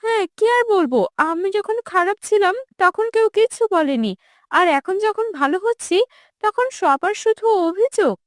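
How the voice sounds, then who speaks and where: Woman playing an anxious, high-voiced repentant young woman. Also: animated, normal speed, no room sound, clean studio sound. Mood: troubled. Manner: pleading, earnest.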